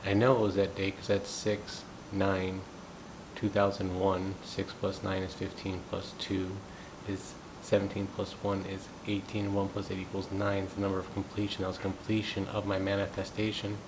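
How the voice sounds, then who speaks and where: Low, flat-voiced man musing aloud. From United States. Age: 30s. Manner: thoughtful, unhurried, musing aloud. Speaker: gong practitioner sharing thoughts. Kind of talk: reflective personal monologue. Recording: steady low rumbling background noise. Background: rumbling noise.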